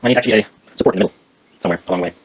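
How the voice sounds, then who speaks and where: Guy with a lowish voice doing casual workshop narration, kinda halting, thinking out loud while he works. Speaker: DIY maker demonstrating a build.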